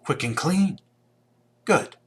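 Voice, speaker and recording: An actor playing a confident, coolly ruthless sci-fi schemer in a video game, wry and clipped, with a rough husky voice. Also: male voice, on the high side, expressive, slow, fairly bright tone, exaggerated voice.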